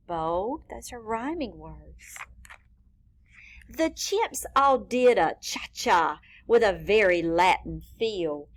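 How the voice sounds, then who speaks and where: A woman reading a picture book expressively to young children, with deliberate teacherly phrasing and asides to the listeners. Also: mid-range voice. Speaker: children's read-aloud reader pointing out rhyming words.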